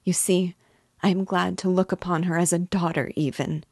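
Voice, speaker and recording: A woman's voice: fairly low pitch, flat delivery, normal speed, a little mellow, breathy edge, no echo, studio quality, voice pushed up. A woman voicing an anxious, tearful middle-aged mother who pleads emotionally with her son. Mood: pleading. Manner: pleading, emotional, breaking into sobs. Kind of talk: audiobook character reading.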